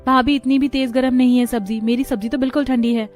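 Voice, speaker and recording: Woman acting a high-pitched, fussy female in-law. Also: fairly even intonation, fast, darkish tone, soft background music, close to an everyday voice. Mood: complaining. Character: fussy, complaining female in-laws of a household. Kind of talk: acted dialogue in a moral story.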